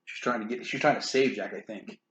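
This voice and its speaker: A woman reading a children's story aloud in an animated way, with casual asides. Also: very deep voice, fairly quick, dull audio. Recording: roomy echo, faint background noise.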